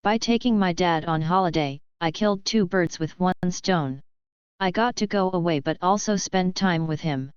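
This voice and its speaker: A woman slowly reading English idioms with their meanings and example sentences, repeating each one. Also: fairly low pitch, smooth, dry recording. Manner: reads each line plainly and repeats it. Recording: dull, slightly lo-fi voice track.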